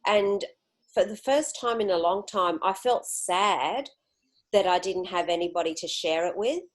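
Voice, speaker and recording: A woman's voice: medium pitch, a little slow. A woman from Greece with an Australian accent, a friendly and outgoing interview guest. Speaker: guest, solo traveler. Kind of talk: casual interview chat.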